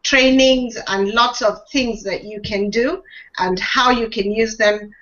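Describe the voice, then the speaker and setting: A woman's voice: fairly high pitch, fairly even intonation, slow, a bit bright. A woman speaking hesitantly with many restarts on a live video call, sharing her experience. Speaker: online marketing member. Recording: dull lo-fi video call audio.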